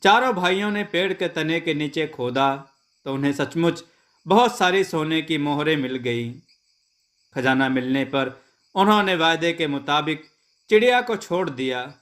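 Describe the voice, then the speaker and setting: A man telling a scripted folk tale as a storyteller, in a measured and deliberate manner. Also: on the high side, a bit bright.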